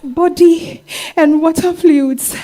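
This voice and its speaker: A woman's voice: extremely high pitch, fairly even intonation, takes it slowly, dark tone. A woman with a Ghanaian accent playing an emphatic, wronged young wife and mother in a dramatized stage reading. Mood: sensual reminiscing. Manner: emphatic, exclamatory, with Ghanaian interjections. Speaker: stage actor. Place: live staged reading at a festival.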